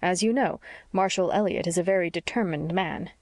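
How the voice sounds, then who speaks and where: Woman playing a young husband, mock solemn, dry teasing, low-ish. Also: fairly quick, darkish tone, no echo, natural voice.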